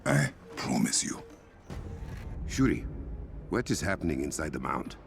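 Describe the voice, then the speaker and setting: A man's voice: very deep voice, very animated, measured pace, creaky, a little hiss or hum, full character voice. An actor playing a grave, resolute young king with an African accent, speaking formally and with command in a dramatic video game. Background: cinematic game music.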